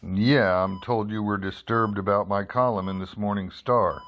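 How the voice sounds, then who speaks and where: A man's voice: on the low side, steady tone, normal speed, highs cut off, natural voice. A man playing a persistent investigative reporter in an old-time radio drama, matter-of-fact and clipped with a sardonic edge. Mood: dry, probing. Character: persistent, dry-witted male investigative newspaper reporter. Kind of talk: old-time radio drama, first-person narration and dialogue.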